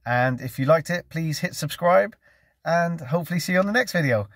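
A man's voice: on the high side, fairly quick, no echo, clean studio sound. Chatty English man vlogging in a van. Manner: relaxed, chatty, with frequent ums. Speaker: window cleaner vlogger. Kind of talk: casual how-to vlog. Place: inside a parked van. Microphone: phone camera at arm's length.

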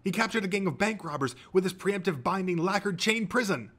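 A man voicing an excitable, indignant teenage boy hero fanboy in an audiobook, gushing with emphatic intensity. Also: sits high, brisk, pitched above the performer's own voice, full character voice. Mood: intense, triumphant.

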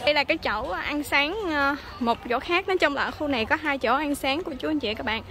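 A woman's voice: high-pitched, a little fast. A woman, chatting through a casual travel vlog as she walks around a hotel breakfast buffet, with plenty of fillers. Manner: chatty, narrating while walking, many fillers. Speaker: travel vlogger. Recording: loud music under voice. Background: loud background music.

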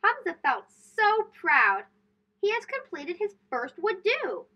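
Lively high-pitched woman reading a storybook. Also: takes it slowly. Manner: expressive, storytelling delivery with emphasis on key words. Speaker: storybook reader and host. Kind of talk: children's story read-aloud. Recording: dull lo-fi sound.

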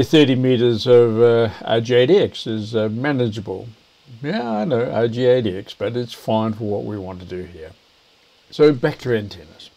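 An older man, explaining things to camera in a measured, hesitant way. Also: on the low side, a little mellow. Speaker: video presenter, ham radio operator.

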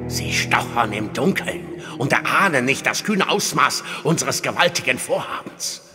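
A man's voice: mid-range voice, natural voice. Secret-society grandmaster played by a man: menacing, scornful, commanding villain for audio drama, echoing hall, background music. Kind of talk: German audio drama acting. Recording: reverberant hall, music behind.

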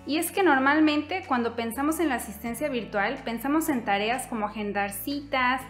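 A young woman hosting her own channel, explaining a topic in an upbeat way straight to her viewers from a room at home. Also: fairly high pitch. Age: young adult. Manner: upbeat, direct address to viewers. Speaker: YouTube host. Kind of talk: scripted vlog explainer. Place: indoor room, seated on couch. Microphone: fixed camera, medium shot. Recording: echoey room with soft background music.

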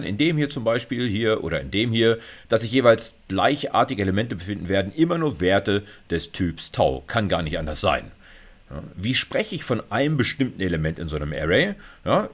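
Low-voiced, animated middle-aged male lecturer. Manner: conversational, frequent check-in tags like "okay" and "ja". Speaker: university lecturer. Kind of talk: informal explanatory lecture over slides.

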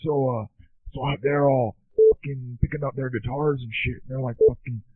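A man's voice: medium pitch, steady tone, moderate pace, slightly rough. A man telling slangy comic anecdotes like a surfer dude, recorded with thin telephone-like sound. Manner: surfer-dude drawl, constant bro and man. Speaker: comedy podcast performer. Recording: thin, tinny phone-like sound.

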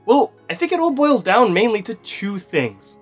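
High-pitched, animated young man. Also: normal speed, breathy edge. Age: 20s. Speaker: video essayist and host. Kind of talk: conversational scripted video essay commentary on games. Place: small studio with acoustic foam. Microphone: studio microphone. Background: music.

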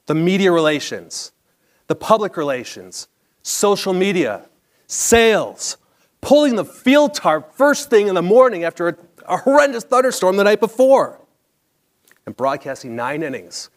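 A middle-aged American man reading a scripted personal story on stage with a practised broadcaster's delivery. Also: on the high side. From Chicago area, United States. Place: theatre stage before a live audience. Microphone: clip-on lapel mic.

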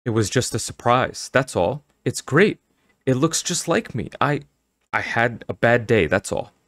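A man's voice: mid-range voice, animated, unhurried, fairly bright tone, dry recording, clean studio sound, voice pushed up. A man voicing a reluctant, self-conscious schoolgirl in a storybook, speaking in a hesitant, awkward way. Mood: awkward, apologetic.

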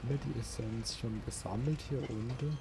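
A man's voice: mid-range voice, steady tone, a little fast, creaky, noisy background. A man giving casual gameplay commentary, musing informally to himself and his viewers as a let's play host.

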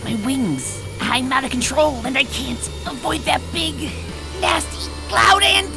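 A woman's voice: high voice, expressive, measured pace. A woman voicing a brash, cocky young female cartoon pegasus in a comic dub, with a boastful, dramatic delivery. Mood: scared, defensive. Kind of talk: animated comic dub acting. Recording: voice over background music.

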